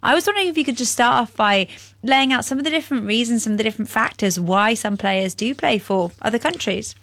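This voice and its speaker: A woman's voice: on the high side, lively intonation, fast talker, a bit bright, no echo. A woman hosting a news podcast, presenting scripted explanations and interviewing a guest in an upbeat, explanatory way. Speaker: podcast host.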